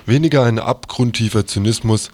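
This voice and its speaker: A man's voice: on the low side, brisk, a little mellow. A man reading aloud a written newspaper review as a quoted text. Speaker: reader of quoted articles.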